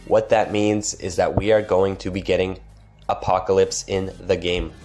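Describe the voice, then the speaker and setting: A man's voice: low-pitched, level tone, moderate pace, a little mellow, soft background music. A man hosting a gaming channel, talking through game news in a casual, chatty way, his voice stuffed up from a cold. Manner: chatty, lots of restarts and filler phrases. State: congested from a cold.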